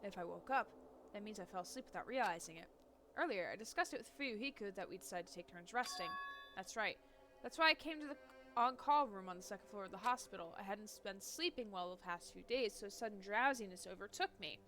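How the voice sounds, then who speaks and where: A woman's voice: mid-range voice, animated, brisk, fairly bright tone, soft background music, close to an everyday voice. A woman reading the lines of a level-headed young male student in a plain, thoughtful way, as game narration. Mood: calm.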